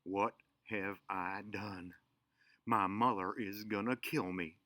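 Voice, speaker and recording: A man's voice: on the low side, steady tone, slow pace, bright, forceful tone, no room sound, studio quality. Man voicing a whiny, headstrong little storybook girl. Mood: dismayed. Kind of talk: children's storybook character voice.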